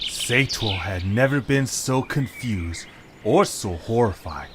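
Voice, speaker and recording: A man's voice: lowish voice, animated, slow, a bit bright. A man narrating a scripted story in a dramatic style. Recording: faint background noise with birdsong.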